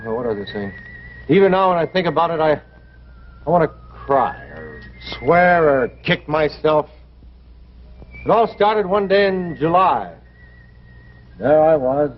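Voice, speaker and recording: Regretful middle-aged horse man, folksy rambling narration, fairly high voice, noisy vintage TV sound. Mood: rueful. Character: regretful middle-aged racetrack man looking back on youth. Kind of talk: 1950s television drama narration. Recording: dull old TV soundtrack, noisy.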